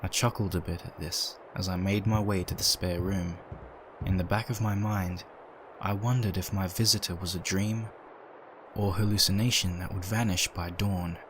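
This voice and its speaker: A man's voice: deep voice, normal speed. A man reading a scripted story as narrator, in the manner of a dramatic audiobook. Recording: steady ambient noise behind.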